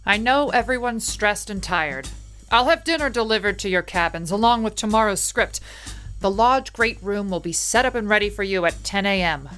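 An actress playing a brisk, dutiful woman who runs a remote mountain lodge in a radio play. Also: mid-range voice, animated, a bit bright, close to an everyday voice. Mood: businesslike. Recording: music behind the voice.

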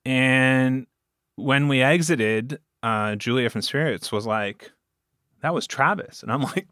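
A man chatting casually as an interview guest, telling stories in an animated way with quoted asides. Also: mid-range voice, takes it slowly, clear, resonant tone, dry recording, clean studio sound. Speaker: podcast producer and game master, interview guest. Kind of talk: casual conversational storytelling.

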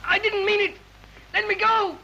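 An actor playing a terrified murder victim in a 1930s radio play, choking and melodramatic. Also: male voice, very high-pitched, level tone, moderate pace, gravelly, sharp tone, exaggerated voice. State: panicked, choking. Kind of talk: melodramatic 1930s British acting. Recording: noisy, dull 1930s film soundtrack.

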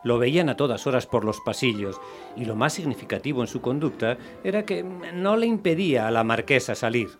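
A man's voice: medium pitch, lively intonation, fairly quick, faint music behind, lightly acted. A man narrating a scripted story in the style of radio theatre.

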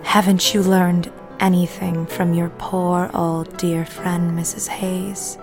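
A woman's voice: lowish voice, level tone, slow pace. An actress playing a sinister, mocking woman in an audio drama, taunting an old friend. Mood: mocking, menacing. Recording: music behind the voice.

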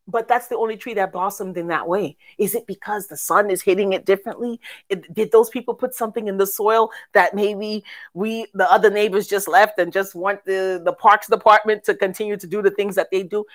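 A woman co-hosts a faith podcast. She talks casually and reflectively, with an expressive storyteller's way and a medium pitch. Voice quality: raspy. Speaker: podcast co-host.

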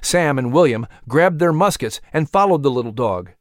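A man reading a children's adventure story as narrator, expressive and suspenseful. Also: fairly high pitch, moderate pace, no room sound, clean studio sound. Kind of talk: dramatic scripted story narration.

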